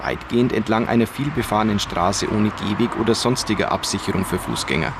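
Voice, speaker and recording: A man's voice: on the low side, lively intonation, brisk, gravelly, background noise. A man narrating a scripted radio news report as a reporter.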